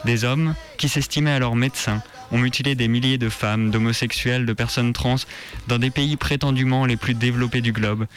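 A man with a French accent presenting as a contributor on a radio show. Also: medium pitch. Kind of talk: scripted narration. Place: radio studio.

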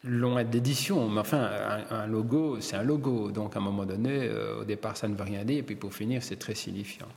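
An older Belgian-born man, a hairdresser and publisher, telling his story in an interview, halting and repeating words as he searches. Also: lowish voice, steady tone, gravelly, darkish tone, big-room sound.

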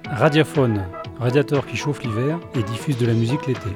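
A man's voice: medium pitch, a little fast. A man hosting a student radio show, reading mock definitions and interviewing a guest with some hesitation. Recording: music bed under the voice.

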